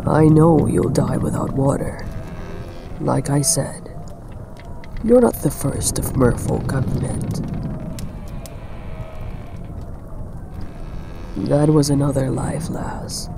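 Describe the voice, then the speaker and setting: A man's voice: mid-range voice, slow pace. A man playing a commanding, roguish yet protective pirate captain with nautical slang in an audio roleplay. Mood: measured, authoritative. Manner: authoritative, uses nautical slang. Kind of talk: dramatic audio roleplay acting. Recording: clear background ambience noise.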